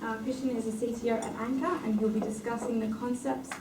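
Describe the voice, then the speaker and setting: A woman hosting a tech meetup, briskly running through announcements and introducing the speaker. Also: fairly high pitch, fairly even intonation. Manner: brisk, reads housekeeping notes with many "uh" fillers. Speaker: meetup host. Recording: echoey room from far off with background noise.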